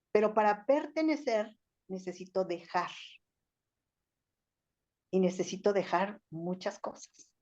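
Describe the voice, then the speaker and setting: A woman's voice: mid-range voice, a little mellow. Older Mexican woman, reflective and lecturing. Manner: thoughtful, lecturing, many fillers like "o sea" and "este". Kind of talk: reflective talk-show discussion. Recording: slightly dull lo-fi audio.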